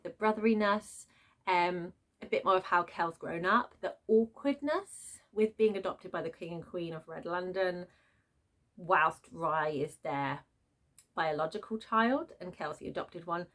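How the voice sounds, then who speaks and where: A woman vlogger talking off the cuff in an emphatic, expressive, informal way, like a book reviewer ranting to viewers. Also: fairly low pitch. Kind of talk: unscripted opinionated rant to viewers.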